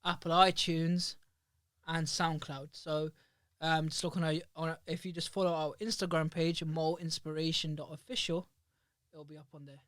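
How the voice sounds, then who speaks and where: A man's voice: on the high side, level tone, fairly bright tone, no echo. A man hosting a casual podcast in a small studio, rambling and restarting his sentences. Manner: rapid, chatty, rambling with many restarts. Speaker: podcast host. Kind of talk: casual chat. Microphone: studio microphone.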